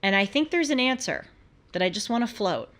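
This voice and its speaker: A woman's voice: on the high side, expressive, a little fast, fairly bright tone. An American woman hosting a talk show, giving conversational political commentary in an earnest, emphatic way full of rhetorical questions.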